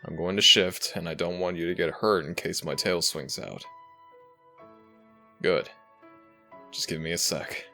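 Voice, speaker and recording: Smitten dragon shifter prince played by a man for romantic audio drama: very low, slow delivery, tender and hesitant. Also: creaky, bright, forceful tone, faint music behind, heavily acted. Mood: caring, encouraging. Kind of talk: ASMR romantic audio roleplay addressed to listener.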